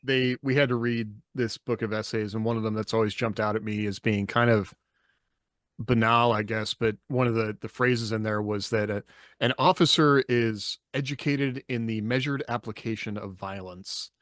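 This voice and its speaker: A man's voice: lowish voice, steady tone, normal speed, fairly bright tone, no room sound, clean studio sound. A man co-hosting a podcast, speaking in casual, reflective discussion. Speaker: podcast co-host.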